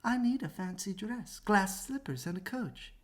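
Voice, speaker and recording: Storybook young girl played by a woman, lifted in pitch, politely brushing people off. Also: lively intonation, a little slow. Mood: dismissive. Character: unimpressed young fairy-tale girl.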